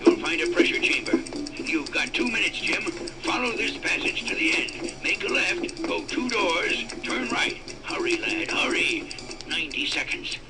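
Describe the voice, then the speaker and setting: An actor playing a gruff, commanding older general with a gravelly voice, giving clipped urgent instructions in an audio drama. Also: male voice, a little high, big pitch swings, a little slow, over loud music.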